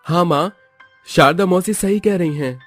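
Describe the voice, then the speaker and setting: A man playing a romantic, playful young newlywed husband in an animated family story, sweet-talking in a drawn-out way. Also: sits high, soft background music. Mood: weary.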